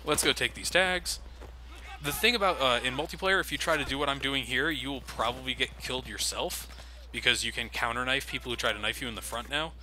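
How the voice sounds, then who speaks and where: A man's voice: medium pitch, brisk, sharp tone. Sarcastic man commentating gameplay. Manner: sarcastic, swears casually. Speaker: let's play commentator. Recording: game noise underneath.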